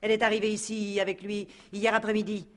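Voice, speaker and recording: A woman's voice: mid-range voice, little pitch movement, fast, natural voice. A woman playing a self-important, gossipy painter and neighbour in a radio crime drama, with an emphatic, theatrical delivery. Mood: chatty. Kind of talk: 1950s-style French radio crime drama acting.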